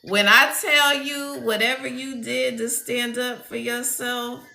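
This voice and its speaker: A woman's voice: fairly high pitch, fairly even intonation, slow pace, creaky, bright, forceful tone, echoey room, poor audio. A woman giving a casual livestream spiritual reading, blunt and profane, telling stories full of quoted voices. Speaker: spiritual reader.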